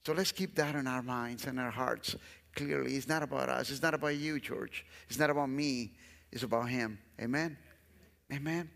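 A man's voice: mid-range voice, steady tone, normal speed, gravelly, fairly bright tone. A middle-aged man from Guatemala with a Guatemalan Spanish accent, a guest pastor preaching an earnest sermon on a large church stage, often repeating his phrases for emphasis. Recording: long church hall reverb.

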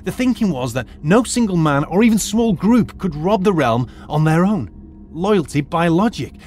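A man's voice: high-pitched, lively intonation, normal speed, a little mellow. A man playing a middle-aged retired archaeologist turned teacher who lays things out in a precise, lecturing way. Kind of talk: audio drama acting.

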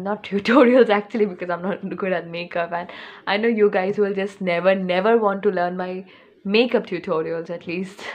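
A teenage girl with an Indian English accent chatting into her phone camera for her first vlog, rambling with lots of fillers and restarts, indoors in a small room at home. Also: medium pitch. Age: teens. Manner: rambling, chatty, with many fillers and self-corrections. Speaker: vlogger. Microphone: phone camera held close.

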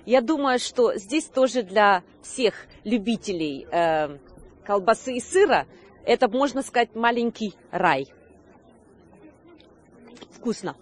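A woman in her thirties with a fairly high voice reports on location, speaking into a handheld microphone outdoors. She presents to camera in a semi-scripted way, stumbling slightly now and then. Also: animated, slow pace, long pauses. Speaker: video news presenter. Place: outdoor Christmas market.

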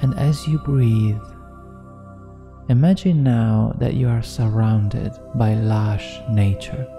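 A man narrating a guided meditation in a soothing, measured manner with deliberate pauses. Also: fairly low pitch. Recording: long reverb, New-age music behind. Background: New-age music.

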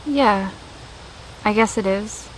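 An actress playing a gentle fifteen-year-old girl, a soldier's daughter, in an audio drama, hesitant and questioning. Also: medium pitch, slow, natural voice, rough recording. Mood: concerned, tentative. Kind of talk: naturalistic audio drama acting. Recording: steady outdoor night-insect noise.

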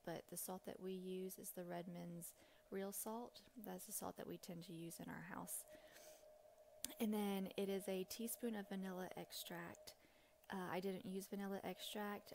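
A middle-aged woman with a fairly low, slightly creaky voice talks through a home task in an informal way. She rambles a little, like someone chatting to regular viewers of her cooking vlog. There is some faint noise in the recording. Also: darkish tone. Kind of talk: casual instructional voice-over.

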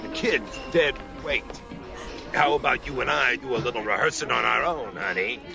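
A man voicing a cocky, lecherous ventriloquist's dummy with a gravelly voice in a television drama. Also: mid-range voice, normal speed, over music, lightly acted. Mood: cocky leering.

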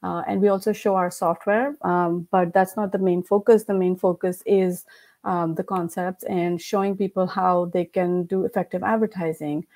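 A woman's voice: fairly low pitch, fairly even intonation, moderate pace, a little mellow. A woman with an Indian accent talks as a guest on a remote podcast, speaking through a headset from a room at home. She is chatty, laughs often and fills her sentences with "like" and "you know". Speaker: podcast guest, company founder. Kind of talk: casual interview chat. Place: home room, video call. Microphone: headset with mic.

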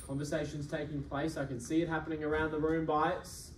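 A young man working as an auctioneer, calling bids at a live property auction in a brisk, persuasive, repetitive way. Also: on the high side, little pitch movement, background noise. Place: function room with audience. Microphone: far camera. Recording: echoey room from far off.

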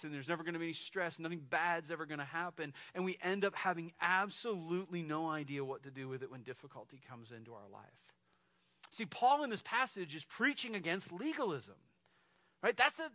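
A man in his forties preaches from a church stage, talking to the room like he's chatting with friends and tossing in "right?" again and again. His voice is bright and forward, and the sound is close and dry, with almost no echo. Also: on the high side. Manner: animated, conversational preaching with frequent "right?" asides and gestures. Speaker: lead pastor. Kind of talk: sermon. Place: stage of a large dark church hall, indoors.

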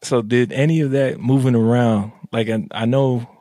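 Flat-voiced laid-back podcast host, a man. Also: medium pitch, normal speed, no room sound, studio quality, soft background music. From United States, Army family. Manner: laid-back, many "you know" fillers. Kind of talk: casual interview chat. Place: home room, indoors. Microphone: studio mic with headset, video call.

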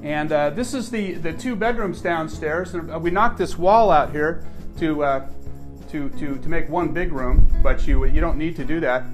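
A man's voice: fairly high pitch, normal speed, music in the background. An older man chatting enthusiastically as he walks through his house, pointing out its rooms. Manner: chatty, enthusiastic, frequent restarts. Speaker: homeowner giving a house tour. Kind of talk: casual walking tour narration. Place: inside a house, moving room to room. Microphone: camera mic, filmed walking behind him.

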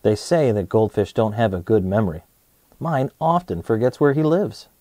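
Animated mellow man reading to kids. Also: lowish voice, normal speed, dry recording. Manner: expressive, clearly addressing young children. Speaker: host of a children's read-aloud show. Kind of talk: children's storybook read-aloud and presenting.

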